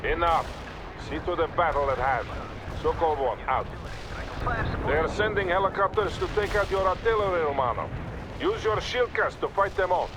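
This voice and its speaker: A man's voice: a little high, expressive, moderate pace, natural voice. A man playing a stern, composed Soviet field commander with a Russian accent, giving clipped, formal orders in a military video game. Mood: stern rebuke. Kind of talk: scripted video game military radio dialogue. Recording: loud battle noise behind. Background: artillery fire, explosions and gunfire.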